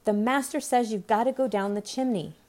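Woman doing loud, bossy squabbling storybook workers. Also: fairly high pitch, brisk, voice pushed up, lightly acted. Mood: bustling, bossy. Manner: shouting orders, squabbling. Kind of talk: storybook character voices.

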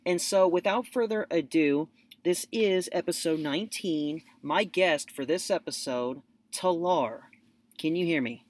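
A man's voice: fairly high pitch, a little slow. A man hosting his own podcast, introducing his guest in a loose, rambling way with lots of fillers. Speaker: podcast host. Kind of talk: casual interview hosting.

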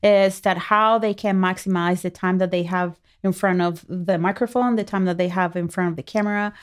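A woman's voice: fairly low pitch, fairly even intonation, normal speed. A woman with a Spanish-speaking accent, a native Spanish speaker, co-hosting a casual podcast in a playful, earnest way. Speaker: podcast co-host, marketing expert.

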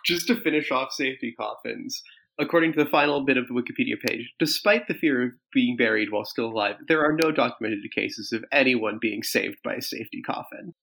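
A man with a gravelly, creaky voice co-hosts a podcast. He reads out facts plainly and without fuss. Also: mid-range voice, fairly quick, a bit bright, a little hiss or hum, poor audio. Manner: matter-of-fact, reads out facts. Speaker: podcast co-host. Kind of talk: reading facts aloud on a podcast.

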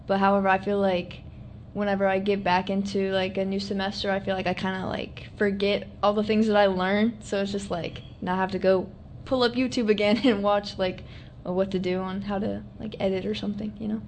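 A woman's voice: mid-range voice, little pitch movement, a little fast, hardly any pauses. A young woman student chatting casually on a radio show, filling her sentences with "like.". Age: young adult. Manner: filler-heavy casual talk, many "like"s. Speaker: student guest. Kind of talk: casual chat. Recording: noisy studio recording.

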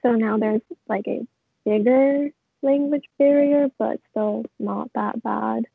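A woman's voice: fairly high pitch, takes it slowly, vocal fry, breathy edge, no echo. A young woman, a podcast guest, chatting casually and hesitantly with many fillers. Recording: tinny phone-like line.

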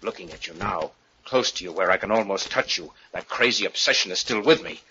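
An actor playing a tormented professor husband, obsessed and bewildered, in an old radio thriller with intense, deliberate dramatic phrasing. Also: male voice, low-pitched, lively intonation, bright, forceful tone, a little hiss or hum. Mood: obsessed, menacing. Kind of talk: 1940s radio thriller acting. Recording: dull, muffled old radio recording.

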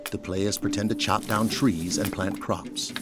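A man's voice: lowish voice, steady tone, a little slow, over music, lightly acted. A man narrating an audio drama in a descriptive, dramatic scripted style.

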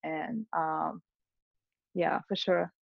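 A woman's voice: lowish voice, extremely slow, creaky, no room sound. A woman DJ, answering interview questions thoughtfully with many fillers. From Lithuania, raised in Russia. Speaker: guest DJ. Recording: dull dry lo-fi sound.

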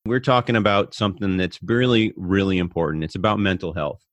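An American man hosting a podcast interview over a video call, speaking in an earnest, measured way. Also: low voice, steady tone. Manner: earnest, measured, speaks slowly when reading out numbers. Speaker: podcast host.

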